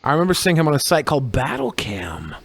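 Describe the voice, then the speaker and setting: A man's voice: on the high side, wildly swooping pitch, fast, creaky, fairly bright tone, breathy edge, dry recording. A man co-hosting a podcast with crude, joking, casual banter. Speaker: podcast co-host.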